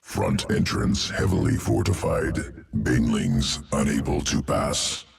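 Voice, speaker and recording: Game creature: an emotionless alien evolution master. Very low, gravelly, slow and clipped. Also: male voice, sing-song, exaggerated voice. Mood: clinical. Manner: clipped, speaks in terse noun fragments. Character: cold clinical male alien evolution master. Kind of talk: video game cutscene acting. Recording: distant game audio with loud music.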